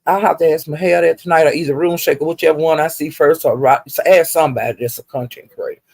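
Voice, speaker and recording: A woman's voice: deep voice, steady tone, quick pace, creaky, darkish tone, breathy edge, dry recording. An older woman, chatting casually to live viewers as a livestream host in a folksy, rambling, salty-tongued way.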